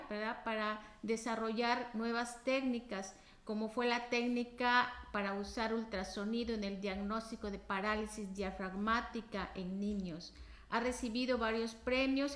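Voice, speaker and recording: A woman's voice: fairly high pitch, fairly bright tone, roomy sound. A woman host introducing a guest speaker at an academic conference, reading formally with frequent fillers.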